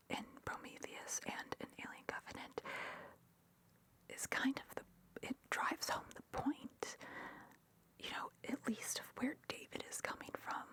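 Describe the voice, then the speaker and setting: A woman rambling in a breathy whisper for ASMR, geeky and opinionated, speaking close to the microphone. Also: high voice. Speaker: ASMR creator.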